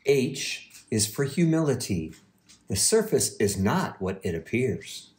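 Guy reading a kids picture book out loud, really slow and careful, voice goes up and down a lot. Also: medium pitch, sing-song. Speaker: university president, guest reader. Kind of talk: children's storybook read-aloud.

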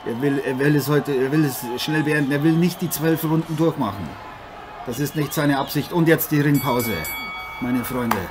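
A man's voice: fairly high pitch, moderate pace, close to an everyday voice. A man playing a genial, chummy boxing co-commentator in an improvised radio play, speaking emphatically. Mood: keen. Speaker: sports commentator. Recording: noisy, arena crowd behind.

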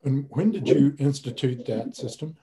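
An older man moderating a video-call panel discussion, asking measured, probing questions from a home study. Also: mid-range voice, mellow, breathy edge.

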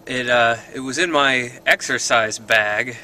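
Young man, bright tense voice, hesitant rambling vlog, chuckles, inside a parked car, camera at arm's length. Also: medium pitch, measured pace, slight background noise. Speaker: vlogger. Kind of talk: casual video diary. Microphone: handheld camera at arm's length.

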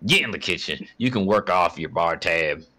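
A man voicing a big, angry tavern keeper in a comic dub, gruff and blustering as he barks threats. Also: sits low, lively intonation, normal speed, sharp tone, heavily acted. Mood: angry, threatening.

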